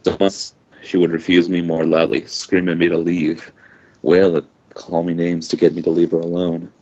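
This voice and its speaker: A man reading a first-person story aloud informally, halting now and then with loose asides. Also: low-pitched, monotone, a little mellow. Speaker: amateur fan fiction reader. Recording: dull lo-fi home recording.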